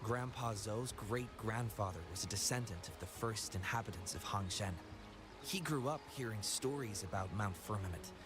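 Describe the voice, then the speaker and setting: A man's voice: lowish voice, normal speed, music in the background, close to an everyday voice. Brief: talkative young male villager, friendly storyteller, game NPC, played by a man. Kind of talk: game voice acting.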